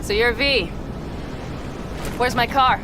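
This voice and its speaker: A woman playing a hot-tempered, defiant young nomad in a video game, speaking in a sharp, confrontational way. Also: on the high side, slow pace, background noise. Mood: angry, demanding. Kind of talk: video game cutscene acting.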